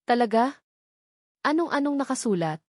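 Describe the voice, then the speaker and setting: A gentle, awkward man in an audio romance acted out by a female narrator. He is apologetic and soft-spoken, stumbling hesitantly over his words. The recording is close and dry. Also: fairly high pitch, expressive, moderate pace, dry recording, pitched above the performer's own voice. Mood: gentle, concerned.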